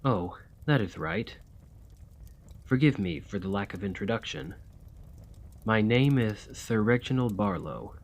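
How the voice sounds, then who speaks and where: Man playing a slow, low-voiced vampire gentleman. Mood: polite, regretful. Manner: formal, old-fashioned, gallant politeness. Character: courteous, weary male vampire gentleman, centuries old, desperate for a cure. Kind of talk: scripted ASMR roleplay acting.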